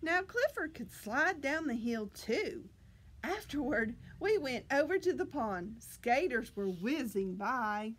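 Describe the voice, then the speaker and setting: A middle-aged woman leads story time for little children. Her voice sits high and swings widely in pitch. She takes her time, sounding out words carefully with animated emphasis. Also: slight background noise. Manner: deliberate, animated, exaggerated enunciation for children. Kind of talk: expressive picture-book read-aloud for young children. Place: small library children's room, indoors.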